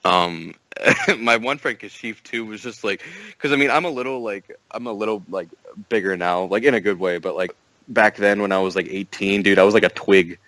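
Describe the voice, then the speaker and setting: A young man with a very low, deep voice talks loosely on a podcast, stringing thoughts together with plenty of "like" and "you know." The sound is close and dry, with no room around it. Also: normal speed, a bit bright, no room sound, rough recording. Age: young adult. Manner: rambling, many fillers and restarts, laughs often. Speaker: podcast guest. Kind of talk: casual podcast chat.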